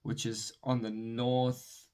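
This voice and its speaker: A man's voice: mid-range voice, little pitch movement, slow pace, darkish tone, clear, resonant tone. Chatty young South African man. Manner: rambling, self-correcting, chatty. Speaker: vlogger. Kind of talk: casual talk to camera. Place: his bedroom.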